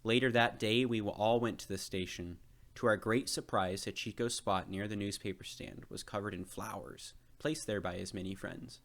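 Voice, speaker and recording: Lowish-voiced man reading a storybook. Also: steady tone, normal speed, vocal fry, a bit bright. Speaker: storybook reader. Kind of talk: children's read-aloud narration.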